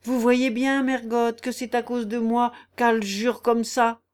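A woman's voice: a little high, little pitch movement, a little slow, pitched above the performer's own voice, lightly acted. A woman voicing a sinister, hateful peasant man in a story, with a hollow, lugubrious tone. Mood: hateful gloom.

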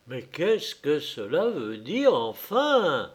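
A man's voice: a little high, wildly swooping pitch, takes it slowly, full character voice. Flustered treasurer, sputtering and offended, read by a man. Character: flustered crimson-faced male treasurer.

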